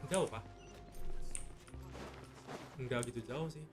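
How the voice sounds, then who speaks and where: A woman's voice: rumbling low, takes it slowly, over music. A woman with an Indonesian accent, livestreaming a game with casual commentary and short exclamations. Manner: reacts in short exclamations, echoes dialogue.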